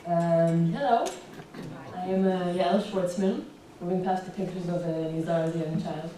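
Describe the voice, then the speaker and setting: A woman's voice: lowish voice, heavy reverb. Young american woman talking at an event, lots of ums, faint hiss, heard from a distance. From United States. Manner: relaxed, fills pauses with ums. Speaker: guest speaker. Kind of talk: public talk with slides.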